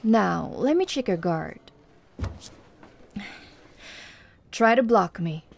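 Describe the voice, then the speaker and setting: Actress for flirty, self-assured tomboy MMA trainer, ASMR roleplay. Lowish-energy breathy delivery, unhurried, gravelly fry, playful seduction. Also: female voice, lively intonation, slight background noise. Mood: instructing, playful. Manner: slow, teasing, giggly, encouraging. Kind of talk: ASMR roleplay, personal address to listener.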